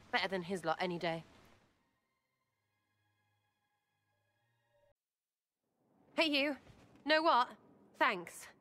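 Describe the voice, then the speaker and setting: A scrappy young English rogue woman, cheeky and foul-mouthed. Her pitch leaps about in a lively way and the tone is bright and forceful, every remark blunt and slangy. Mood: brash, satisfied. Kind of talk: video game character acting.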